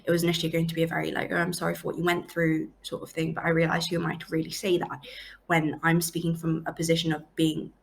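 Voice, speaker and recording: Young woman poet from Hong Kong, low gravelly voice, chatty, casual. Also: completely flat delivery. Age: young adult. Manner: chatty, quick, frequent fillers and likes. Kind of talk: casual talk about her poems.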